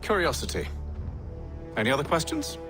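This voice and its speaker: An actor playing an aloof, commanding middle-aged Templar in a game, with a British accent and a clipped, sardonic, formal manner. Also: male voice, on the high side, huge pitch swings, moderate pace, over music. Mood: cool, sardonic. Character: aloof older Templar man, cunning, cold and commanding. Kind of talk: dramatic video game acting.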